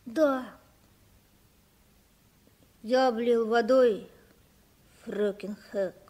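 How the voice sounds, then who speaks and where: High child's voice, earnest runaway orphan boy, halting, eager, vintage radio drama. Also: fairly even intonation, warm tone. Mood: sheepish confessing. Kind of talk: 1960s radio play acting.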